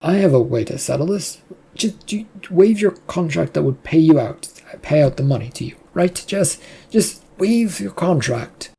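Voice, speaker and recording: A narrator voicing an irritated, controlling male boss in a storybook, with a husky voice. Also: medium pitch, expressive, normal speed, mellow, pitched above the performer's own voice, heavily acted. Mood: pressing.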